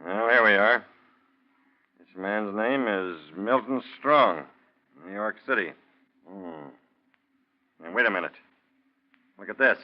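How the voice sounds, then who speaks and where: A small-town sheriff in a 1940s radio play. His voice is fairly low, and he speaks in a terse, folksy, matter-of-fact way. The recording sounds dull and old. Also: slow, pauses often. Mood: businesslike.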